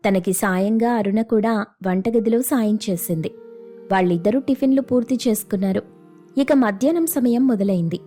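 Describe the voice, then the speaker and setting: Woman, storyteller, scripted narration, mellow tone, middle pitch, faint music behind.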